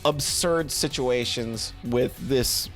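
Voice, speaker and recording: A man streaming game reviews in a casual, rambling, expressive and effusive way, talking to a live chat and swearing casually. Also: medium pitch, slow pace, soft background music.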